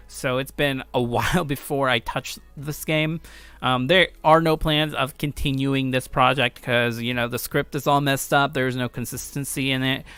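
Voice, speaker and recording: Bright, clear-voiced young man rambling casually. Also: medium pitch, no echo. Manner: self-deprecating, offhand. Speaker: video host and amateur game creator. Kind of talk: casual rambling let's play commentary. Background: background music.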